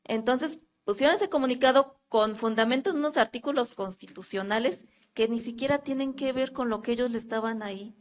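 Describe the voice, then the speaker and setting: A Mexican woman with a fairly high voice speaks up from the audience at a public talk. She talks earnestly but hesitantly, stopping often for fillers as she builds her point. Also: a little fast, few pauses. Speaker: audience member asking a question. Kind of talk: question from the floor at a public talk.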